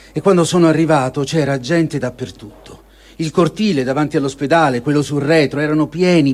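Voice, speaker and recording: A man with a fairly high, husky voice reads a personal diary aloud as a dramatic piece. His delivery is solemn and measured, with emotive weight and pauses between short phrases. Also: darkish tone. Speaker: reader of a testimony. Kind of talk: dramatic reading of first-person war diary.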